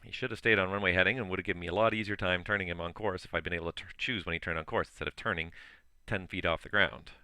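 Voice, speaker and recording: A man's voice: low voice, fairly even intonation, very fast, few pauses, pushed and bright, smooth, no echo, clean studio sound. A man working as an online air traffic controller, giving clipped radio calls with weary casual asides while his voice is giving out. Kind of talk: ATC phraseology with casual asides.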